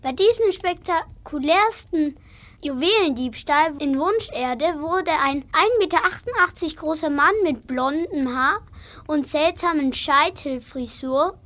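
A child playing a shrewd secret agent in an amateur radio play, speaking deliberately and formally. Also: sits high, expressive, bright, forceful tone, full character voice. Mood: official, reading out. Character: shrewd male secret agent, detective of a fantasy world.

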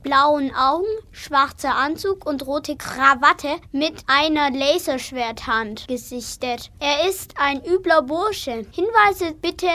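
Child playing a bright-voiced secret agent. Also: high voice, slow pace, few pauses, exaggerated voice. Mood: official, reading out. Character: shrewd male secret agent, detective of a fantasy world. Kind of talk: amateur children's radio play acting.

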